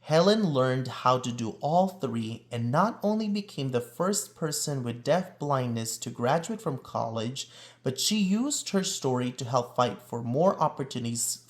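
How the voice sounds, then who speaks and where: A man reading a children's picture book aloud in an expressive, emphatic storytelling manner. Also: medium pitch. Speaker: read-aloud presenter.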